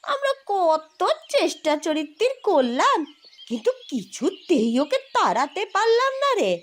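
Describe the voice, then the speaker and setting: A woman voicing a scolding old village grandmother in a cartoon, with an emphatic, drawn-out way of talking. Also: extremely high pitch, very animated, heavily acted. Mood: grave, complaining. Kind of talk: exaggerated Bengali cartoon voice acting.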